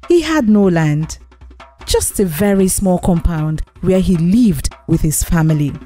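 Animated woman storyteller. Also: medium pitch. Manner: dramatic, drawn-out emphasis. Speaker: storyteller, channel narrator. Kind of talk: expressive children's folktale storytelling.

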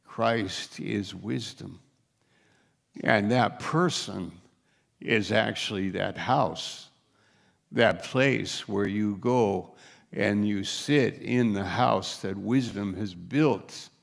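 An older man, a pastor, preaching slowly and emphatically from a lectern on a church stage. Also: on the low side, vocal fry. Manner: slow, emphatic preaching, rising to urgent questions. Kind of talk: sermon.

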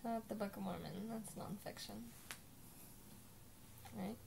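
A woman's voice: mid-range voice, a little slow, creaky, noisy background. A young woman chatting casually in a small bedroom, dry and teasing in the way she talks. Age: young adult. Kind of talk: casual chat. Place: small bedroom, lying in bed.

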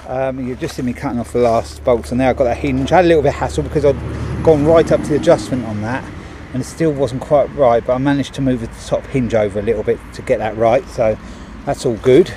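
A man's voice: mid-range voice, fast talker, few pauses, noisy background. A middle-aged man, a handyman, chatting casually with lots of ums as he shows finished work outdoors on a body-worn camera. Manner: chatty, many ums and restarts. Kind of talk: casual on-the-job narration. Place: outdoors at a driveway gate. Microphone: body-worn action camera.

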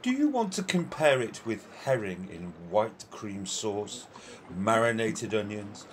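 A man acts out an opinionated admiral in a radio play. His delivery is theatrical and disputatious, swinging widely in pitch as he savours his words. There is faint noise on the recording. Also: very animated, a little slow. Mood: relishing, argumentative. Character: opinionated, food-loving old-fashioned military admiral, courteous and argumentative. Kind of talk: amateur radio play acting.

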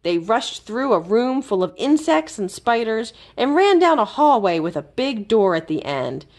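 A woman reads a children's storybook aloud at a slow, careful pace. Her intonation is lively and varied, and she leans on key words with dramatic pauses. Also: a little high. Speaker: library storyteller.

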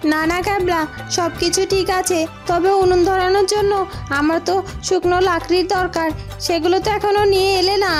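Woman voicing an eager, very high-pitched village girl. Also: little pitch movement, a little fast, music in the background. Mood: eager, chirpy. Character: eager young village girl running a street-food stall. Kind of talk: Bengali fairy-tale cartoon voice acting.

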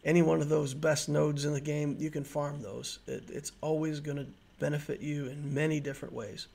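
Guy in his 40s walking thru game strategy, kinda husky n creaky, chatty teacher vibe. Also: a little high, a little mellow. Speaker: gaming video host. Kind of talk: explanatory slide presentation, conversational.

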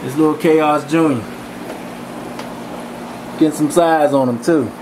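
A man giving a relaxed, rambling walk-through of his home aquarium room, speaking casually with many fillers into a handheld camera. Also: a little high, unhurried. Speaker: fish breeder giving a tour. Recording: camcorder audio with fan hum.

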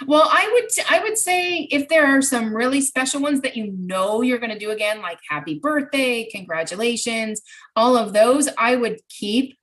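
A woman's voice: fairly high pitch, lively intonation, moderate pace, fairly bright tone. Middle-aged woman explaining on a video call. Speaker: presenter answering participants' questions. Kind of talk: informal online workshop chat. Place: home craft room, indoors. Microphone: computer mic on a video call.

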